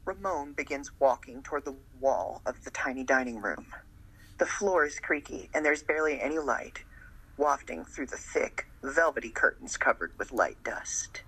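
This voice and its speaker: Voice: medium pitch, lively intonation, moderate pace, bright, forceful tone, husky, low-quality recording. A narrator reading scripted scene descriptions for an amateur radio drama.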